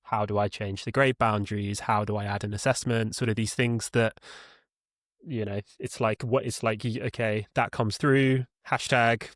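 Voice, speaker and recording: A man talking as a podcast guest, explaining his work conversationally and haltingly, often repeating words and correcting himself. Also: lowish voice, fairly even intonation, a bit bright, no echo, clean studio sound. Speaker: podcast guest, customer support leader.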